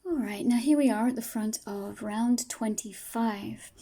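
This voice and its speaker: A woman teaching crochet step by step, patient and soft-spoken, her voice tired and fading from a long day of talking. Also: a little high, unhurried. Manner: soft, patient, talks through each stitch. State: losing her voice. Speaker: crochet tutor. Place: home table, indoors.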